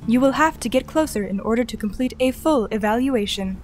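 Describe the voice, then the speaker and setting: A woman as a formal artificial guide in an audio drama. She speaks fairly high, with measured, procedural calm and precise wording. Music runs underneath. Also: lightly acted. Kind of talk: audio drama mission briefing.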